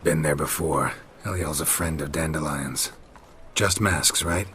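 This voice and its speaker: An actor playing a gruff, weary monster hunter in a video game, terse and deadpan with a low gravelly voice. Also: male voice, a little slow, slight background noise. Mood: dry, wry. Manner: terse, deadpan, clipped sentences. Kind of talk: video game dialogue acting.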